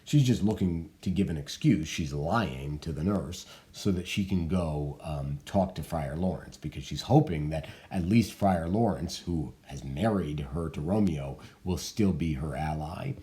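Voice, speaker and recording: A man teaching, thoughtfully explaining a play with many hesitant pauses and fillers. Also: extremely low pitch, mellow. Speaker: teacher reading a play aloud. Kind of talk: explanatory commentary.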